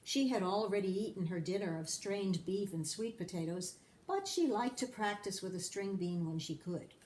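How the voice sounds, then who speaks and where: A woman reading a children's storybook aloud in an expressive storytelling style. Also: medium pitch, moderate pace, a little hiss or hum, low-quality recording. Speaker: storybook reader.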